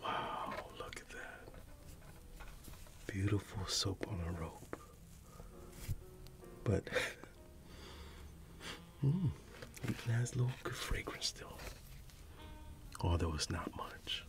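A middle-aged man whispering softly in an ASMR roleplay, reminiscing with gentle chuckles. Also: sits low, expressive, slow, long pauses. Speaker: ASMR creator. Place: dim room at home.

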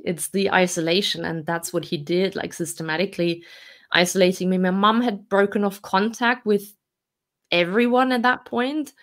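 A woman in her forties from Austria speaks as a podcast guest, telling her own story in a reflective way with soft laughs and fillers. Her pitch sits in a middle range, neither high nor low. She talks candidly and keeps going through long stretches. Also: steady tone, normal speed. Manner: reflective, laughs softly between hard memories, many fillers.

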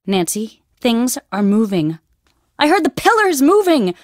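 Expressive, high-pitched anxious young woman. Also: unhurried, no room sound, clean studio sound. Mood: uneasy, pleading. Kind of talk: video game voice acting.

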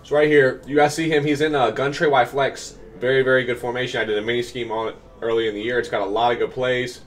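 A man's voice: medium pitch, level tone, quick pace, faint music behind. A young man in his twenties, slightly nasal from a cold, giving upbeat casual commentary on his own game from a small room at home. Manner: upbeat, explanatory, many fillers. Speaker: gaming coach and YouTuber.

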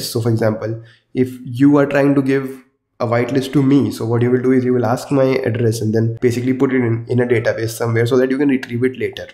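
A man's voice: mid-range voice, warm tone, reverberant. A young man with a sore throat, hosting a casual coding tutorial and explaining each step conversationally from a small room at his desk. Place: small indoor room with wardrobe.

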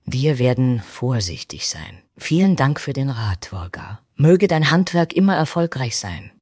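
A man's voice: mid-range voice, sing-song, unhurried, a little mellow, no echo, studio quality, voice pushed up. A man voicing a resolute, courteous female soldier in a fantasy audio story, polite and decisive.

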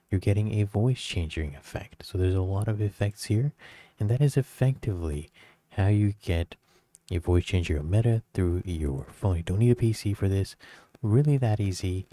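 Male tutorial host, casual delivery, very dark relaxed tone, dry close recording. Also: fairly low pitch, normal speed, no echo.

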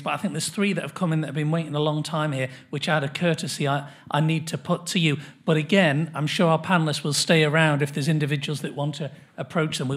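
A middle-aged man chairs a panel Q&A from a lectern in a large conference hall. His voice sits a little high, and he reads questions briskly, hurried by the clock. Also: a bit bright. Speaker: panel moderator. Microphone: lectern microphone.

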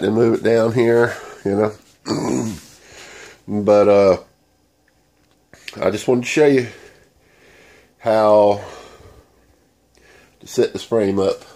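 A man's voice: fairly low pitch, darkish tone. A man with a stuffy voice from a cold, giving a rambling, plain-spoken how-to demonstration into a handheld phone in a sewing room. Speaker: DIY channel host. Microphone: handheld phone camera.

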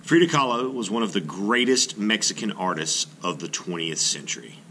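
A middle-aged man with a fairly low, bright voice reads aloud like an art teacher to young pupils. His intonation rises and falls in a very animated way as he works through each sentence in a measured, expressive manner. A light background noise sits under the recording. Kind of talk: read-aloud narration of a children's nonfiction book.

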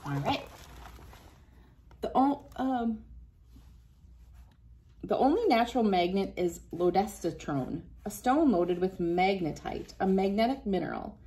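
A woman's voice: mid-range voice, animated, measured pace, long pauses, mellow. A woman in her thirties reads a picture book aloud to young children, instructing them and adding casual asides as she demonstrates. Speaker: children's read-aloud host. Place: small wood-panelled room indoors.

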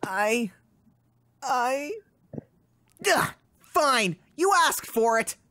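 A woman's voice: fairly high pitch, expressive, drawn-out words, pushed and bright, heavily acted. A woman voicing an exasperated, melodramatic male duck vampire in a live comic dub parody. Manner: theatrical, exasperated.

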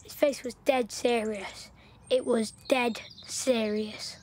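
A woman's voice: on the high side, fairly even intonation, takes it slowly, a little mellow, slight background noise. An actress playing a chatty local village girl in wartime, earnest and gossipy as she chimes in. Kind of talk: audio drama acting.

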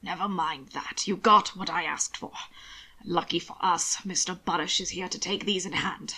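Woman reading as tired bossy lord in a storybook, gruff but fond. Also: fairly low pitch, steady tone, normal speed, pushed and bright, pitched below the performer's own voice. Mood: calm, commanding. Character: Roland, weary commanding male lord, gruffly fond.

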